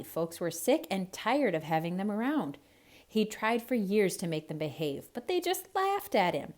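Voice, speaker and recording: Woman doing theatrical kids read-aloud, lowish voice, really expressive and emphatic. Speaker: children's storyteller.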